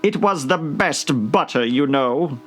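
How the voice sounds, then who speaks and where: A man's voice: on the high side, lively intonation, takes it slowly, a bit bright, voice pushed up. A man voicing a prickly, contrary talking hare in a storybook, with a pert manner. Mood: meek.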